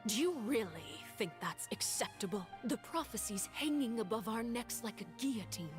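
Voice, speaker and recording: A woman playing a poised, sharp-tongued diplomat in a video game, speaking deliberately with mocking formal politeness. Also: fairly high pitch, animated, lightly acted. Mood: cool, accusing. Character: poised, menacing, sharp-tongued female diplomat confronting a god. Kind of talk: scripted video game dialogue. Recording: background music under the voice.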